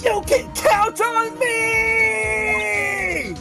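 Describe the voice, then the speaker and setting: A woman's voice: extremely high pitch, fairly even intonation, extremely slow, gravelly, raised voice, loud music behind the voice, pitched above the performer's own voice, heavily acted. A woman voicing an earnest, idealistic defense lawyer with dramatic resolve in a live visual novel read-through. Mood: determined. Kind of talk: live group voice-over of a visual novel game.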